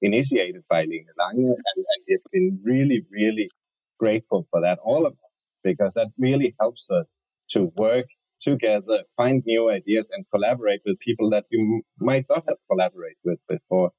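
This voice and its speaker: A man, an academic guest answering interview questions in a measured, hesitant way. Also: on the low side, animated, husky, dry recording. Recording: dull lo-fi sound.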